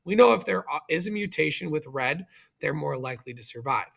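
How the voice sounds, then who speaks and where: A man's voice: fairly high pitch, animated, a little fast, vocal fry, a bit bright. A man teaching in an explanatory video lesson, speaking directly to viewers and guiding them step by step. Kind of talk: explanatory video lesson, walking through a review question.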